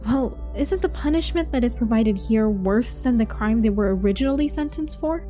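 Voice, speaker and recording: A woman playing an earnest, quietly sympathetic prison trainee in an audio drama, polite and tentative with questions. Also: on the high side, lively intonation, normal speed, soft background music, close to an everyday voice. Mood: doubtful.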